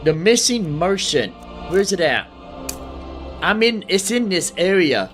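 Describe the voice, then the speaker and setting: A man's voice: high-pitched, sing-song, a little slow, fairly bright tone, faint music behind. Man rambling through casual gameplay commentary. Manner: rambling, thinking aloud, repeating himself. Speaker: gamer narrating own playthrough.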